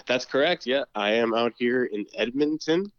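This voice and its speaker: A man's voice: mid-range voice, expressive, slow, no echo, muffled recording. A man speaking as a podcast guest in casual chat, hesitant, with many fillers and restarts. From Canada. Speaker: podcast guest, student council member.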